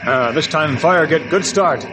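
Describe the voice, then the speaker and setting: An actor playing a stoic ranger sidekick who speaks clipped, broken English in an old-time radio western. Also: male voice, fairly high pitch, unhurried, close to an everyday voice, rough recording.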